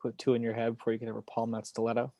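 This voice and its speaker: A man's voice: mid-range voice, monotone, rapid-fire, gravelly, mellow, close to an everyday voice. A man playing a guarded investigator in a table read, speaking in short, clipped replies. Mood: guarded, terse. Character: terse, guarded male investigator. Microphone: video call.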